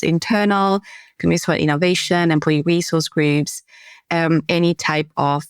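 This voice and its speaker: Low-voiced woman. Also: smooth, no room sound, studio quality. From Romania. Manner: friendly, thoughtful, some filler pauses. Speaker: guest, consultant and trainer. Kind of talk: conversational podcast interview.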